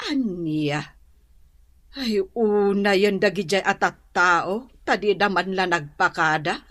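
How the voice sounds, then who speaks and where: A woman's voice: mid-range voice, slow pace, fairly bright tone. An actress playing a nagging, disapproving older mother in a radio drama, with an Ilocano accent. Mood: indignant, grumbling.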